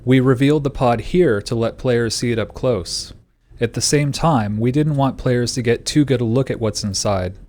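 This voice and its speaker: A man's voice: medium pitch, normal speed, darkish tone. A man with a mid-range voice reads prepared commentary. He explains design choices as a game developer.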